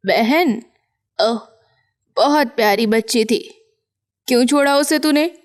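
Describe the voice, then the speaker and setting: A woman's voice: on the high side, slow pace. A woman playing a centuries-old shadow witch in a cartoon story, taunting and possessive with menacing mock tenderness. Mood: mock-tender, possessive.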